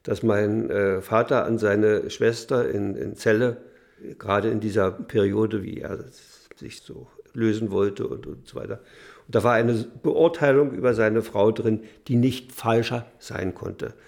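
An older man giving an unscripted recollection of his parents, halting as he searches for words. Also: lowish voice, steady tone, vocal fry, a little mellow. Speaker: eyewitness recalling his parents.

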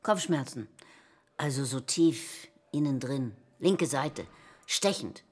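Radio drama: woman in her sixties, deep, rough-edged, hesitant, swooping intonation. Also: expressive, takes it slowly, exaggerated voice. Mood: hesitant, worried. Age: 60s. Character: hesitant, matter-of-fact sixty-four-year-old woman patient. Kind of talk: naturalistic radio play acting.

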